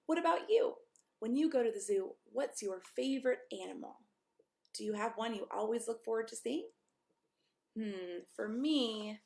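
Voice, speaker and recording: A woman reading a picture book aloud to young children, often asking them questions in an expressive way. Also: medium pitch, gravelly. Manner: expressive, encouraging questions to listeners. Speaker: pastor reading a story to children. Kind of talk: read-aloud storytime, chatting to young children.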